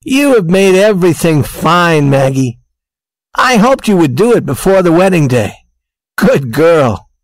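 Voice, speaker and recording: A woman's voice: lowish voice, very animated, unhurried, no echo, pitched above the performer's own voice. A woman voicing a humble, earnest man in love in a storybook. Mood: tender. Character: humble, earnest little man in love, proud of his friend.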